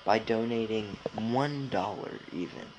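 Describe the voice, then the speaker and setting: Young dude podcast host, lowish voice, rambles with lots of likes, bit of background noise. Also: male voice, measured pace, lo-fi sound. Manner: rambling, filler-heavy, informal slang. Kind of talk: casual podcast chat.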